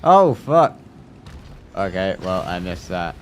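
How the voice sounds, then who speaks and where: A man's voice: deep voice, very animated, takes it slowly, noisy background. A man giving casual live commentary while playing a video game, sometimes reading game text aloud. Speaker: game livestreamer. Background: game music.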